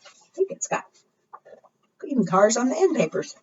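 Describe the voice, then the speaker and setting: A woman's voice: a little high, sing-song, normal speed, darkish tone, slight background noise. A woman leading a preschool storytime, reading rhymes aloud and putting questions to small children in a sing-song way. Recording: muffled, distant microphone.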